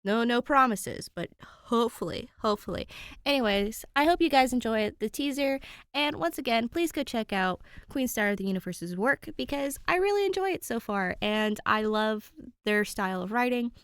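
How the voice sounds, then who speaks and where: Chatty, animated woman, dry close sound. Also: fairly high pitch, moderate pace, clean tone, no room sound. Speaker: host addressing listeners. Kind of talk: casual chat.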